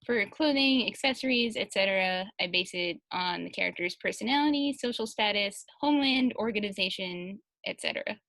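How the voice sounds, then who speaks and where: A woman's voice: medium pitch, brisk, gravelly, few pauses, a bit bright, dry recording, poor audio. A woman chatting casually on a Q&A panel and reading answers aloud as a translator.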